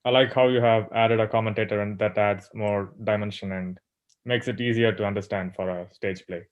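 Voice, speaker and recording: A man's voice: on the low side, steady tone, a little fast, highs cut off. A man giving casual, orderly feedback as a participant in an online meeting.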